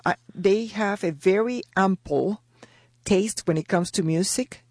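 A woman's voice: medium pitch, darkish tone. Middle-aged woman. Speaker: radio guest. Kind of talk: interview storytelling.

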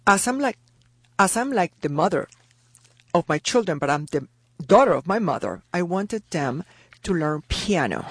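A woman's voice: on the low side, sing-song, normal speed, husky, no echo. Middle-aged woman, reflective radio guest, dry close mic. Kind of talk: interview storytelling.

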